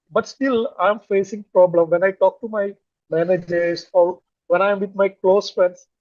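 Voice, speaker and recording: A man from Kerala with a Malayali Indian English accent, talking haltingly with many fillers and restarts about learning English. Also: sits high, dry recording, phone-quality sound. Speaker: English learner, finance manager. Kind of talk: casual conversation on a video call.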